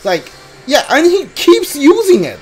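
A man streaming a game with casual, halting commentary, often repeating himself. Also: extremely high pitch, rough recording. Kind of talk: casual live playthrough commentary. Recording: steady background noise.

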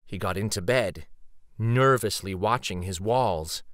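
A man reading a children's picture book aloud as dramatic, suspenseful narration, with theatrical pauses and emphasis. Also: lowish voice, fairly bright tone, no room sound, studio quality.

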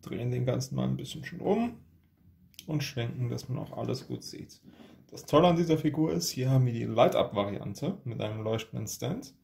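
A man's voice: medium pitch, brisk, gravelly, a little mellow. A man hosting an unboxing with casual hands-on commentary, recorded indoors at a table. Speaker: unboxing video host.

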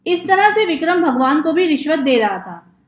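A woman's voice: sits high, big pitch swings, moderate pace, roomy sound. A woman reading scripted narration for a children's story.

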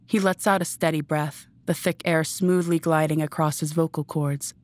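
A woman narrates a scripted story in a deep register for her sex. The sound is dry, with next to no room in it. She reads as the narrator of an audio drama. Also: fairly even intonation, normal speed, darkish tone, dry recording.

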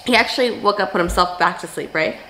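A young woman, a stay-at-home mom, talking casually to camera in a home video diary, hoarse and rambling with many fillers. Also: medium pitch, fast, sharp tone, a little hiss or hum, low-quality recording. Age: young adult. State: hoarse, losing her voice. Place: home, bedroom and kitchen.

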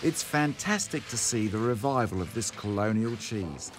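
A middle-aged man with an Australian accent, narrating and presenting a food documentary in a measured, unhurried way. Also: lowish voice, expressive. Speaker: host.

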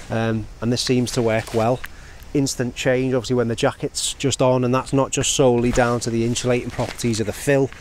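A British man in his forties giving a casual, measured product review to camera in a conversational way. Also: mid-range voice, darkish tone. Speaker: outdoor gear reviewer. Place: outdoors in open countryside. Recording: outdoor ambient noise.

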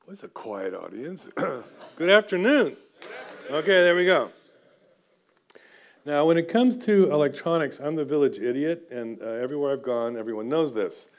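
A man's voice: fairly high pitch, expressive, moderate pace. Self-deprecating older male lecturer at a lectern. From United States, half Guatemalan. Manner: chatty and self-deprecating, with frequent filler sounds. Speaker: seminary lecturer. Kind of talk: informal academic lecture. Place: lecture room with audience. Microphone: far camera, standing at lectern.

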